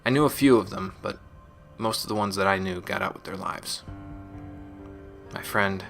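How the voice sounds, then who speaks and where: Man playing a deep-voiced, solemn space marine. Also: normal speed, creaky, a bit bright. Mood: sober, firm. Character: resolute, guilt-burdened space marine recounting a gang attack. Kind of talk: scripted sci-fi audio log monologue. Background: music.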